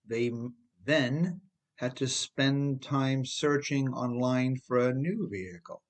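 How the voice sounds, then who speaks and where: Audiobook author-narrator: male, middle-aged, American accent, mid-pitched, emphatic and conversational, speaking directly to the listener. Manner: emphatic, addresses listener with rhetorical questions. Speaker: author reading own audiobook.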